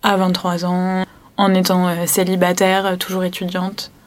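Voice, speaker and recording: A woman's voice: lowish voice, completely flat delivery, normal speed. A student in her twenties, a woman giving a thoughtful, hesitant personal testimony. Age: 20s. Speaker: student interviewee.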